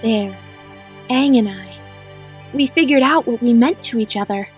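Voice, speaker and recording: A woman playing a caring, easily exasperated teenage sister in an animated comic dub, very expressive. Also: a little high, unhurried, soft background music, natural voice. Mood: fond.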